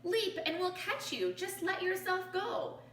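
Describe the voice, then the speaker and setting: A woman voicing cheery, friendly storybook animals who call out loudly and eagerly to coax a shy friend. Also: very high voice, expressive, a little slow, pitched above the performer's own voice, full character voice. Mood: urging. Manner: loud, eager calling out. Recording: roomy, distant mic with faint noise.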